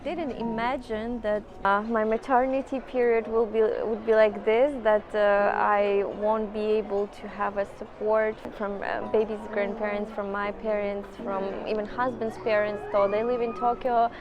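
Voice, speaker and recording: A Ukrainian woman in her thirties, a native Ukrainian speaker with a Ukrainian accent, chatting casually as an interview guest and sometimes pausing to find her English words. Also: mid-range voice, level tone, lo-fi sound, background noise. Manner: chatty, searching for words in English, frequent yes-yes. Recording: background music throughout.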